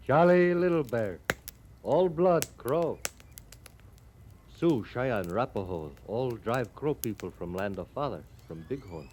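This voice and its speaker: Slow-spoken frontier horse thief, halting English. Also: male voice, medium pitch, expressive, darkish tone. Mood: proud, cheerful. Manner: halting broken English, refers to himself in third person. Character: cheerful, guileless Crow horse thief and scout. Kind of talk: 1950s radio western acting. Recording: old radio recording with background noise.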